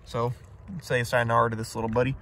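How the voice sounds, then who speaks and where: A young man vlogging outdoors in a tidal marsh at night, talking excitedly and casually about the fish he catches. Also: medium pitch, fairly quick. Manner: enthusiastic, chatty, slangy. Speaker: micro-fishing vlogger. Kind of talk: casual outdoor vlog narration.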